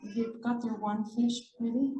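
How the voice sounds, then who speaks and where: A woman informally hosting a children's singalong, speaking to young children with gentle repetition. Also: on the high side, fairly even intonation, slow, background noise. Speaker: children's storytime host. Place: echoey indoor room, library event. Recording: echoey room, distant mic.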